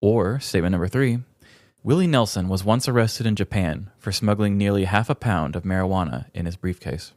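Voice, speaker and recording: A man's voice: on the low side, brisk, a little mellow, no room sound. A man co-hosting a comedy podcast in a conversational, jokey way. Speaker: podcast co-host. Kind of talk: casual comedic chat, sometimes reading aloud.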